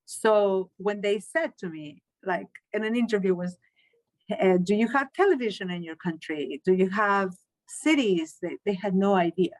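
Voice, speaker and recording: A middle-aged woman from Chile, a Spanish teacher answering interview questions in a measured, earnest way with frequent restarts. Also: medium pitch, poor audio.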